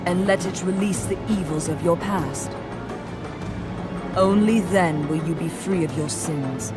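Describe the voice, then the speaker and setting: An actress playing a cold, embittered goddess queen of the underworld in a video game, imperious, measured and formal. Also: fairly low pitch, natural voice. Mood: cool, ominous. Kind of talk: dramatic video game acting. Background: music.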